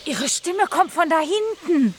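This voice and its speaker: Resourceful, careful girl adventurer, acted by a woman, Swiss German radio play: high, very lively delivery. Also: measured pace, noisy background, exaggerated voice. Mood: wary.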